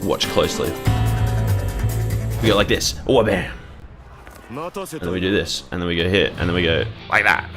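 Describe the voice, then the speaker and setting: Deep-voiced joking gaming streamer. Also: male voice, lively intonation, normal speed, pauses often, over music. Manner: joking, rambling, sarcastic asides. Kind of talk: casual stream commentary.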